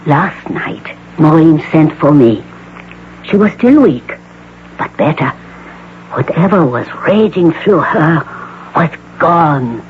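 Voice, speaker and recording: An actor playing a courteous, formal male doctor with measured, precise diction in a 1980s radio drama. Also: fairly high pitch, very animated. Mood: pleased, courteous. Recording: tinny, noisy old radio broadcast.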